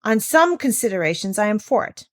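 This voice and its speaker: A woman voicing a long-winded, dithering male tutor in a storybook, pedantic and deliberate. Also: mid-range voice, big pitch swings, lightly acted. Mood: pompous, weighing.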